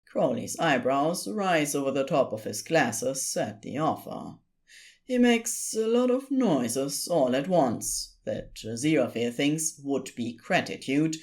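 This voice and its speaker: A woman reading scripted story narration in an expressive storytelling style. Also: a bass voice, measured pace.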